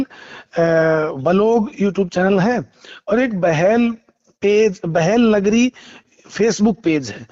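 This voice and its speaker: A man speaking as a lawyer in a phone interview, explaining a case in halting, repeated phrases with polite acknowledgements. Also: high voice, darkish tone. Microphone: phone call. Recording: muffled, lo-fi phone call recording.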